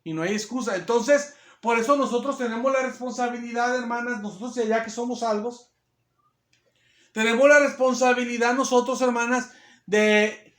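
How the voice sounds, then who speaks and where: A man delivers a sermon in a very high, ringing voice. His naturally powerful voice stays partly held back, then swells into emphatic, louder stretches. The sound carries the echo of a reverberant church room. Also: fairly even intonation, normal speed. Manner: loud, strong voice held back, rising to emphatic bursts. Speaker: preacher.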